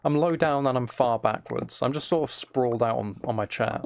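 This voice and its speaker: A man livestreaming a game with a flat, mellow voice, rambling casually and softly to his viewers in a low-key way. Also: brisk, vocal fry, slight background noise. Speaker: live streamer. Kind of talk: casual gaming livestream chat, soft ASMR-like. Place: desk at home, live stream.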